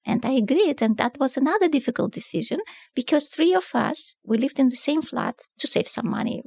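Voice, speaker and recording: A middle-aged woman from southern Russia with a Russian accent, a newspaper publisher telling her story in a relaxed interview, with many fillers and non-native grammar. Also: sits high, fairly quick, gravelly, slightly rough, no echo, clean studio sound. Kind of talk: casual conversational storytelling.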